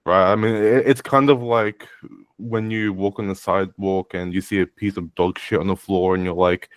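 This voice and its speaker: A man's voice: sits low, steady tone, normal speed, dry recording. A man co-hosting a sports podcast in a blunt, crude, sarcastic ranting style. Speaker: podcast co-host. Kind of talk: casual ranting chat.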